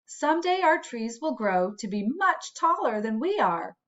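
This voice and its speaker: A woman reads aloud to young children like a school principal at story time. Her voice sits fairly high and swings expressively through each sentence. She takes it slowly, in clear teacherly phrases, on a slightly dull recording. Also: sing-song, a bit bright. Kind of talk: slow, expressive children's read-aloud with asides.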